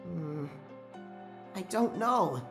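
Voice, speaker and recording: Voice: medium pitch, animated, extremely slow, gravelly, a bit bright, faint music behind, exaggerated voice. An actor voicing a timid, anxious young male fennec fox sidekick in a comic dub, stammering, meek and hesitant. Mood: meek, uncertain.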